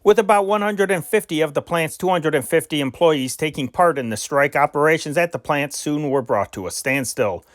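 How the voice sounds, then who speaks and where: A man's voice: on the high side, brisk, few pauses, no room sound, clean studio sound. A man reading scripted news reports as an amateur, carefully spelling out names and figures with occasional stumbles. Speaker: amateur reader of historic newspaper reports.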